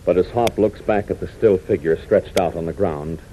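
An American man announcing a 1940s radio adventure serial for young listeners, excitable and dramatic. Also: sits low, normal speed. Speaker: announcer and narrator. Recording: muffled, noisy 1940s radio recording.

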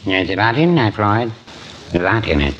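An actor playing a mild, gentlemanly older peacemaker in 1940s radio comedy, on a noisy old recording. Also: male voice, on the low side, sing-song, normal speed, highs cut off. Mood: gently chiding.

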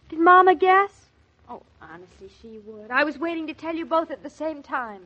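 An actress playing an affectionate, excitable young newlywed wife in a 1940s radio drama, girlish, earnest and a little bossy. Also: high-pitched, unhurried. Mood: excited, bossy. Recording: muffled 1940s radio recording.

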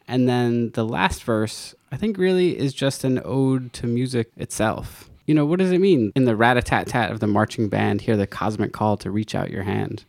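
A man's voice: mid-range voice, gravelly, a little mellow, no echo. A middle-aged man, a singer and guitarist, talking thoughtfully about his song in a casual interview.

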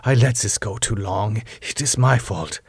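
A man's voice: fairly low pitch, measured pace, slightly rough, no echo, full character voice. A man voicing a commanding female vampire, soothing, stern and formal in an audiobook story. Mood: soothing, firm. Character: commanding foreign female vampire, calmly maternal yet menacing.

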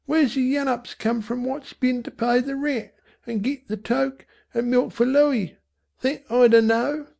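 Lady reader doing a cocky little slum kid, pitched way up, slangy, storybook stuff, bit crackly. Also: steady tone, measured pace, darkish tone, heavily acted. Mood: accusing. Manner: rough dropped-grammar slang. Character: streetwise slum boy, defiant, speaking rough London slang. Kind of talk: audiobook character voice.

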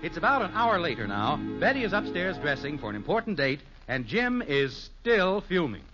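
Animated American radio narrator over music. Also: male voice, fairly high pitch, normal speed. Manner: folksy, wry storytelling. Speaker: radio show announcer and narrator. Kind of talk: 1950s scripted radio narration. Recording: dull old radio recording with music.

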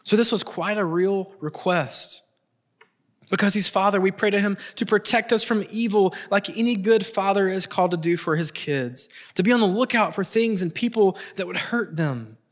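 A middle-aged man preaching a prepared sermon, earnest and emphatic, with deliberate pauses and repeated phrases for weight. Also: high-pitched.

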